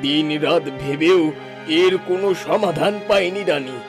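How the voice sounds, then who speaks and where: A man playing a sorrowful, helpless king and father in a melodramatic fairy-tale cartoon, grave, halting and formal. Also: fairly high pitch. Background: background music.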